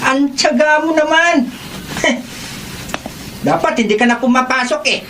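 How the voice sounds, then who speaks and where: Radio drama villain: spiteful female Filipino neighbor, high-pitched, slow taunting drawl, slightly off-mic. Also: fairly bright tone, distant mic, full character voice, poor audio. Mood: mocking. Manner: jeering, drawn-out taunting delivery. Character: mean, mocking woman neighbor who taunts a youth. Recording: off-mic, faint background noise.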